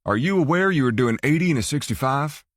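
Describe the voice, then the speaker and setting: A man's voice: medium pitch, expressive, quick pace, dry recording, clean studio sound, lightly acted. A man voicing a stern, officious male state trooper in an audiobook thriller.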